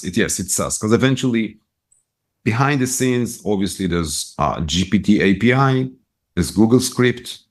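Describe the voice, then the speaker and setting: A middle-aged man from Israel with an Israeli accent, a guest telling business stories in a lively way with many restarts. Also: on the low side. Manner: animated storyteller, quick bursts, many restarts. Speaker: guest, retail business owner. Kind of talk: casual storytelling interview.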